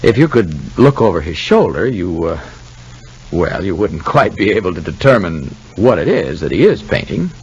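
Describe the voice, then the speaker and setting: A man announces and narrates in the manner of a 1950s radio soap. His low, husky voice swings widely in pitch, with deliberate and dramatic emphasis. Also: big pitch swings, dull audio.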